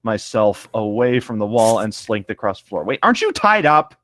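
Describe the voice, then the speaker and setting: A man reading a story aloud casually, playful and expressive, breaking off to comment. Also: on the low side, normal speed. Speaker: co-host of a comedic fan fiction reading show.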